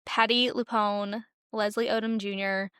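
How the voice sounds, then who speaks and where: A woman's voice: mid-range voice, flat delivery, measured pace, fairly bright tone, smooth, no echo, studio quality. A woman co-hosting a podcast, talking in a casual, conversational style. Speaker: podcast co-host. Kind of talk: casual chat.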